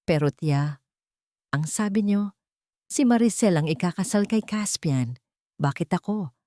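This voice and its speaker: A woman's voice: fairly low pitch, animated, unhurried, mellow, no echo, clean studio sound. A woman with a Filipino accent voicing a hurt, timid young niece who pleads in an audiobook drama. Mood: distressed pleading.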